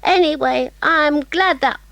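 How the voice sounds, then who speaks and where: A woman playing an excitable, kind-hearted young English schoolgirl in a dramatised audiobook, breathless and exclamatory. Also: sits high, measured pace, no room sound. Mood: puzzled, chatty.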